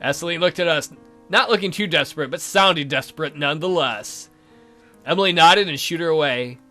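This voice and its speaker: A man's voice: on the high side, fairly quick, pushed and bright, faint music behind. A man reading a visual novel game aloud as a let's play host, with crude, irreverent asides.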